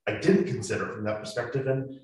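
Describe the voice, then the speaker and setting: A man's voice: on the low side, animated, fast, a little mellow. A man talking earnestly about making a podcast drama, with many restarts, on a panel. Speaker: podcast creator. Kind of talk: panel discussion. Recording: echoey room.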